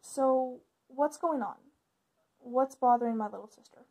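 A woman's voice: high-pitched, a little slow, dark tone, poor audio. A woman acting as a caring, informal big sister and young witch, hesitant and reassuring, in a conversational ASMR roleplay. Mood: concerned.

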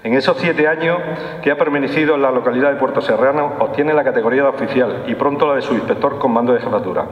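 A man's voice: mid-range voice, fast talker, lo-fi sound. A man, reading a formal speech at a lectern as a police official. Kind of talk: formal speech read from a script. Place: large stone hall, indoors. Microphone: lectern microphone. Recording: roomy, echoing hall with long reverb.

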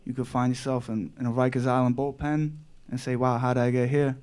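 A man shares a reflective thought in a discussion. His voice is mellow and smooth, with very even pitch. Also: a little fast. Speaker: discussion participant.

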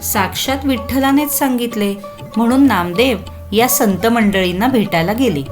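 A woman narrating a scripted children's story expressively, addressing young listeners with questions. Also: mid-range voice.

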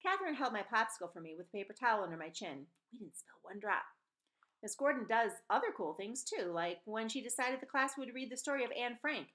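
A woman's voice: on the high side, a little fast. A woman in her forties reading a novel aloud expressively, with the odd stumble, into a computer mic in a room at home. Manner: animated, with stumbles and self-corrections. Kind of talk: expressive storybook read-aloud. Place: small room at home, indoors. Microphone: computer webcam mic at desk distance.

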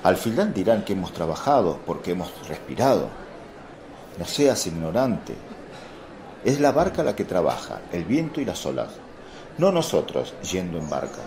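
A man's voice: low voice, sing-song, normal speed. An actor playing a blunt, indignant fisherman apostle in a radio drama, scolding and defensive. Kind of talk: Spanish radio drama acting. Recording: crowd murmur behind voice.

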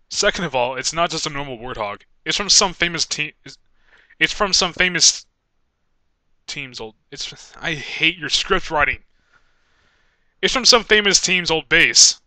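A young man with a fairly high, very bright voice reads script takes in a dry, close recording. He stumbles, restarts and swears in exasperation, and his pitch moves around a lot. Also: dry recording, poor audio. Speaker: amateur voice actor. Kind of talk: reading script takes for a fan animation, breaking off to swear.

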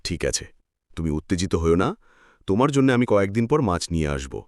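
A man's voice: deep voice, very animated, quick pace, dry recording, clean studio sound. An actor playing a principled, kind-hearted village fisherman husband in a cartoon. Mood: earnest. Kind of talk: cartoon voice acting.

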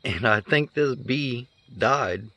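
A man's voice: mid-range voice, expressive, takes it slowly, sharp tone, no echo. A middle-aged man filming himself in his backyard garden, talking casually and sounding amazed as he thinks aloud. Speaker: homesteader vlogger. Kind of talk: casual handheld vlog. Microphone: phone camera held close.

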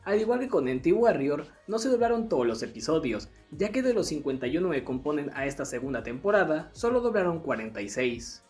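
Lively Latin American narrator over music. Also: mid-range voice, a little fast, hardly any pauses. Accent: Latin American Spanish. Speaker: narrator of an anime dubbing history video. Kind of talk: scripted video essay narration. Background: background music.

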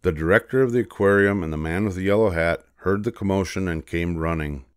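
An older man reading a children's storybook aloud as narrator, in a measured, expressive way. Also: low voice, clean tone, no echo, clean studio sound.

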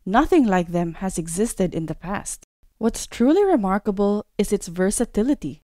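A woman narrating a scripted screen-recorded tutorial as a course instructor, explaining step by step in a clear way. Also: medium pitch, lively intonation, normal speed, mellow, no echo, clean studio sound.

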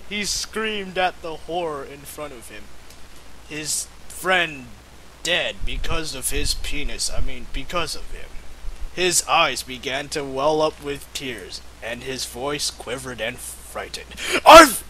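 A man's voice: medium pitch, takes it slowly, sharp tone, slight background noise, poor audio. A man giving an amateur, mock-dramatic reading of fan fiction with casual asides.

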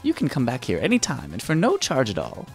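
A man's voice: medium pitch, wildly swooping pitch, a little fast, gravelly, soft background music, voice pushed down. A man voicing a sweetly menacing spider girl who teases and laughs in a sing-song way. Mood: teasing.

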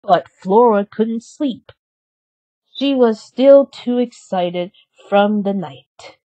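Slow-paced woman reading a children's story. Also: mid-range voice, warm tone. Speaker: storybook reader. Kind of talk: children's story read aloud, scripted narration. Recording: slightly dull, lo-fi sound.

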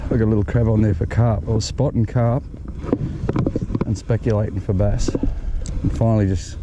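A man's voice: fairly low pitch, steady tone, moderate pace. A middle-aged Australian man giving casual running commentary to himself as he fishes along a lakeshore, recorded on a head-mounted camera. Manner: chatty, talking to himself while fishing. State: sweating from walking. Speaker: fishing vlogger. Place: outdoors on a grassy lakeshore. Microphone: head-mounted action camera. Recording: outdoor wind and ambient noise.

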